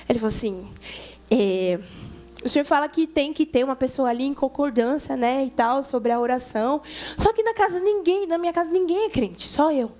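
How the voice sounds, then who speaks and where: Female youth preacher, Brazilian accent, high-pitched, chatty storytelling delivery, faint music underneath. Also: a little mellow. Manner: colloquial, conversational, addresses listeners directly, acts out dialogue. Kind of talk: informal youth sermon with storytelling.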